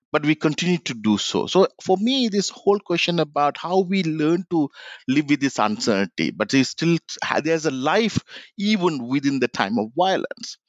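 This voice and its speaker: Halting Sri man, dull dry sound. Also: a little high, no room sound. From Sri Lanka. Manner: halting, many "uh" fillers and restarts, reflective. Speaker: author, guest. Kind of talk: academic interview answer.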